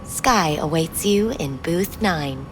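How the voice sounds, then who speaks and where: A woman playing a polite, detached club receptionist in a video game, with measured, formal courtesy. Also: sits low, expressive, slight background noise, low-quality recording. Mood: formal, courteous.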